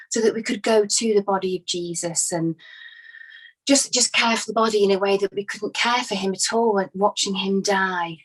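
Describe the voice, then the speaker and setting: A woman's voice: mid-range voice, natural voice, rough recording. A woman playing a devoted, grieving female follower giving hesitant, conversational testimony in a dramatized inquest.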